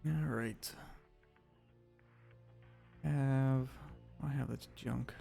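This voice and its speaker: A man's voice: medium pitch, steady tone, extremely slow, creaky, warm tone, soft background music. A man streaming a video game live, chatting casually with viewers, rambling with lots of fillers as he loots and sorts gear.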